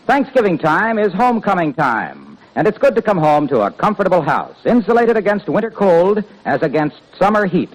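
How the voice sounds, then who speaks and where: A male announcer delivering a polished sales pitch in 1950s radio style. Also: muffled recording. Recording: old radio broadcast.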